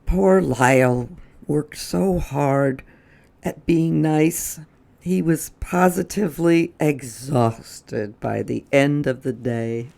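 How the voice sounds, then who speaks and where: Slow, lively, creaky children's storyteller. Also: mid-range voice. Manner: expressive, deliberate. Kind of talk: children's read-aloud narration.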